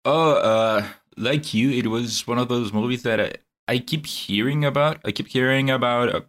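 A young man co-hosting a casual podcast, talking in a measured, thoughtful way with hesitant fillers. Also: medium pitch, a bit bright. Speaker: podcast co-host.

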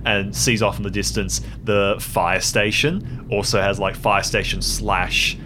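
A man playing a tabletop game, describing his character's actions in casual, halting fragments. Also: fairly low pitch, steady tone, pushed and bright, a little hiss or hum. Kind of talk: casual improvised game narration.

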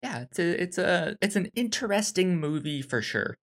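A man's voice: sits high, animated. A man co-hosting a film podcast, talking casually and repeating words as he works out his thoughts. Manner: halting, repeats words while thinking. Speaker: podcast co-host reviewing films. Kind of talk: casual conversational film discussion.

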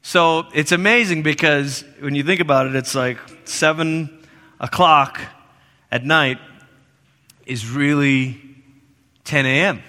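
Animated preacher in a reverberant church. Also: male voice, on the high side, slow, pauses often. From Malaysia. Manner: animated, swings between loud emphasis and soft hushed lines. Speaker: pastor. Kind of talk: sermon. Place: church sanctuary. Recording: long reverb of a church hall.

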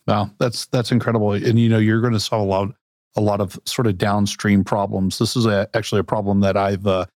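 A man's voice: lowish voice, level tone, a little fast, darkish tone, dry recording, studio quality. A man hosting a podcast interview, asking questions in an upbeat, conversational way. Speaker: podcast host.

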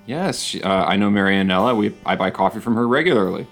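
A man's voice: fairly low pitch, animated, quick pace, vocal fry, a bit bright, soft background music. A man in his forties co-hosting a podcast, enthusiastic and playful as he chats. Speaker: podcast co-host. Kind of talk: podcast chat.

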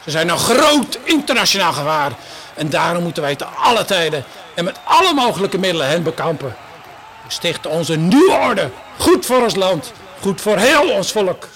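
A man's voice: sits high, sing-song, moderate pace, fairly bright tone, slight background noise. A man playing a fiery wartime fascist orator in a radio play, speaking in an emphatic, declamatory way. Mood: fervent, hateful. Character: fiery Nazi collaborator orator rallying a crowd. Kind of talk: political rally speech in a radio play.

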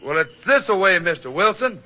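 A man's voice: sits high, animated. An actor playing a plain-spoken, wry Old West townsman with a Western drawl and rustic phrasing, in old-time radio style. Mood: folksy, persuading. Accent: American Western drawl. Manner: rustic, drawn-out folksy phrasing. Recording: dull lo-fi old radio recording.

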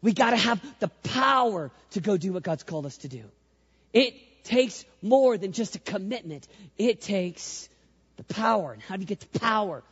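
A man with a squeaky, strikingly high voice preaches to a youth crowd, leaning on repetition and call-and-response. His tone is a bit husky, and it rings with the long echo of a large hall. The recording is muffled and lo-fi. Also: animated, normal speed, a bit bright. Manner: emphatic, repeats phrases, leads call-and-response. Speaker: youth pastor. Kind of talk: energetic youth sermon. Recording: echoey hall, dull lo-fi sound.

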